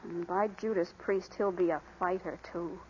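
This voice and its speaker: A woman's voice: lowish voice, steady tone, normal speed, a little mellow, a little hiss or hum, natural voice. An actress playing a plucky, tender young working woman and mother in 1940s radio drama style. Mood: proud, determined. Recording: muffled vintage radio recording, faint music.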